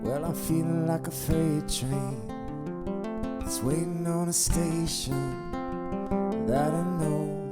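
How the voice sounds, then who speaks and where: Low-voiced woman singing slowly over guitar. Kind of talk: singing a bluesy song with guitar. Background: acoustic guitar.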